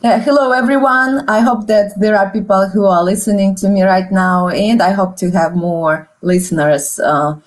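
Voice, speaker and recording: A middle-aged woman with a Russian accent, a native Russian speaker, explaining her research as a guest history professor in a hesitant, pausing way. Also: mid-range voice, poor audio. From Soviet Union. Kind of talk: explanatory interview talk.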